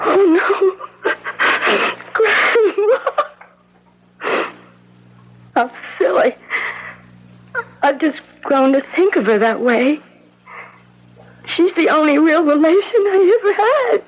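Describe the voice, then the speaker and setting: An actress playing an earnest, tender young woman in a 1970s radio drama, speaking gently and hesitantly. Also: very high voice, expressive, slow pace, pauses often, heavily acted. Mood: grieving. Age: young adult. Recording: dull lo-fi 1970s radio recording.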